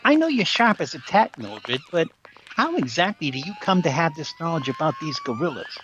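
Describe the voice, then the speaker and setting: A woman acts out a goofy, cheeky sidekick in a forties radio comedy. The voice is very low and wildly animated, on a dull recording. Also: fairly quick, slight background noise, heavily acted. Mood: goofy, admiring. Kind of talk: 1940s radio comedy acting.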